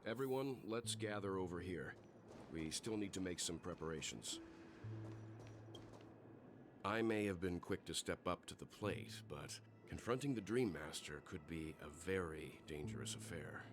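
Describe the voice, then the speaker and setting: A man playing a mature, calmly authoritative mentor in a video game, speaking politely and reassuringly. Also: low voice, a little slow, faint music behind. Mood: calm, cautious. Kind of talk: scripted video game voice acting.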